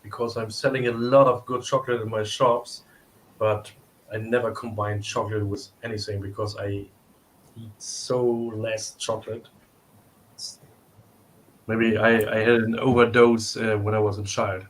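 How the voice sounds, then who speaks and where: A man's voice: lowish voice, little pitch movement, moderate pace, a little hiss or hum, low-quality recording. A man with a German accent hosting a casual tasting, searching for his English words as he goes. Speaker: tasting host and shop owner.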